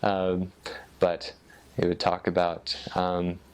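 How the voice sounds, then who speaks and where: American male, deep register, informal explainer, somewhat halting delivery as he thinks aloud. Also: monotone, clean tone. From United States. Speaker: former missionary explaining Norwegian dialects. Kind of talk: casual explanatory talk.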